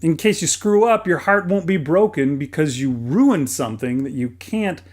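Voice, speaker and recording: A man's voice: fairly high pitch, expressive, normal speed, a little mellow. A middle-aged American man narrates a how-to hobby video from a script, conversationally and with light self-deprecation. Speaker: toy hobbyist and video host.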